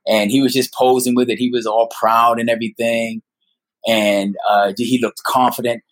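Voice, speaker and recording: A man in his fifties telling personal stories as a podcast guest, speaking earnestly and haltingly, repeating words for emphasis. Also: medium pitch, level tone. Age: 50s. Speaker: guest storyteller. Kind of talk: personal storytelling in a conversational interview.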